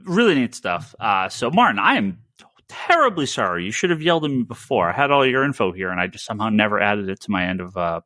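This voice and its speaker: A man hosting a podcast, chatting informally and rambling through shout-outs and plugs. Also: fairly low pitch, big pitch swings, quick pace, no room sound. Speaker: podcast host.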